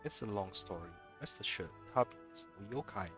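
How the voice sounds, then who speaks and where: A man's voice: fairly low pitch, expressive, brisk. A man streaming a let's play, reading out dialogue choices in a playful way. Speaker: game streamer. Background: soft game music.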